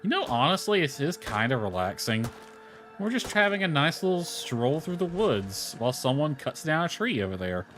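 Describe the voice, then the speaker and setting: A man's voice: a little high, very animated, moderate pace, fairly bright tone. Casual male game streamer with music behind. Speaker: game livestreamer. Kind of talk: casual gameplay stream commentary.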